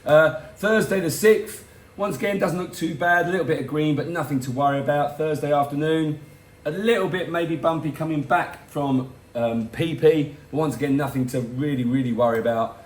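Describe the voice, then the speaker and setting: A middle-aged man with a hoarse voice that is going, giving a casual, rambling weather forecast from home with self-mocking asides. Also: fairly high pitch, normal speed, echoey room. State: hoarse, losing his voice. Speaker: weather forecast host for a boat tour company. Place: home, in front of a garden.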